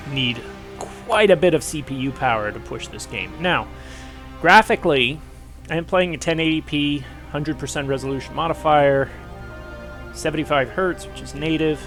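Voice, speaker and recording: A man's voice: medium pitch, a bit bright. Guy with a cold talking through his pc game settings, stuffy, lots of uhs, bit of game music under it. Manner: rambling, frequent "uh" pauses. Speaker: gaming channel host. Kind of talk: casual gameplay commentary.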